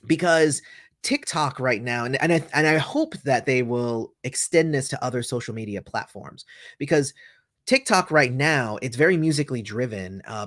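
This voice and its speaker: A man's voice: mid-range voice, no room sound, studio quality. A man hosting a casual livestream, reading viewer comments aloud and rambling through answers with many fillers and restarts. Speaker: host answering livestream chat.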